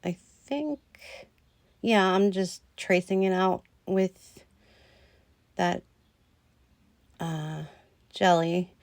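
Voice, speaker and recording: A woman talking through a nail tutorial in a slow, rambling way with lots of ums, a little hoarse as she loses her voice. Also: fairly low pitch, no room sound. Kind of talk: casual voice-over tutorial.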